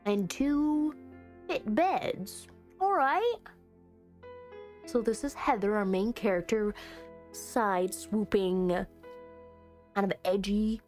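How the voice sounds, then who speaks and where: A woman streamer giving casual, playful let's-play commentary on a visual novel, reacting out loud and reading game dialogue aloud. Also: medium pitch, slow pace, pauses often, faint music behind. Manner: playful, reactive, exclaiming and teasing.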